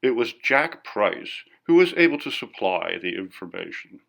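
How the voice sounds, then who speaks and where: A man's voice: on the low side, very animated, moderate pace, vocal fry, a bit bright. A man narrating a classic ghost story as a scripted audiobook reading.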